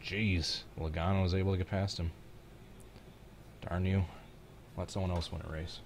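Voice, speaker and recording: A man with a very low voice and a Midwestern American accent hosts a casual live stream. He chats and reacts to a race in an offhand way, with noticeable noise behind him. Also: little pitch movement, moderate pace.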